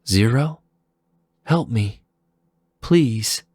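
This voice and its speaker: Gentle, apologetic teen girl for audio drama, played by a man; breathy-husky, unhurried delivery. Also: mid-range voice, lively intonation, no echo, pitched above the performer's own voice, exaggerated voice. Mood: anxious pleading. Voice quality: soft, husky. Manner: hesitant, apologetic. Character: gentle, anxious, pleading teenage human girl. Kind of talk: fan fiction audio drama dialogue.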